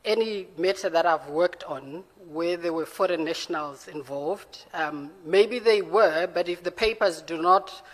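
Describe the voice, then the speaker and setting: Measured low-voiced woman in formal interview. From Zimbabwe. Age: 40s. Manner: measured, careful, self-correcting. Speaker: judicial candidate being interviewed. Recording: reverberant hearing room.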